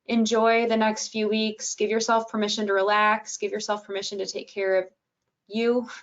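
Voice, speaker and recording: A woman's voice: medium pitch, normal speed, lo-fi sound. Soothing woman hosting a webinar. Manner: calm, gentle, with frequent fillers. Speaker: webinar presenter and podcast host. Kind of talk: webinar presentation.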